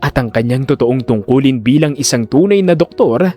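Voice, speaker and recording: A man narrating a scripted audio drama in a deliberate, solemn storytelling manner. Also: mid-range voice, lively intonation, mellow. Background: background music.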